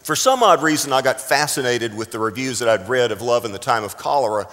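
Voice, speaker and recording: A middle-aged American man from South Texas preaching a sermon deliberately, with dramatic emphasis and pauses. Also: medium pitch, animated, fairly bright tone. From South Texas, United States. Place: large reverberant room, speaking to a congregation. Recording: echoing hall with long reverb.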